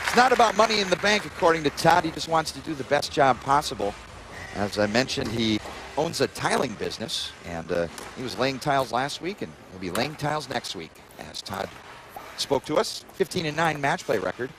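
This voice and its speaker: A man's voice: lively intonation, fairly quick. A man calling a bowling match live as play-by-play commentator, his voice climbing on big strikes. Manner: rises in pitch and volume on strikes. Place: bowling center broadcast booth. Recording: loud crowd and arena noise. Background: crowd and bowling alley noise.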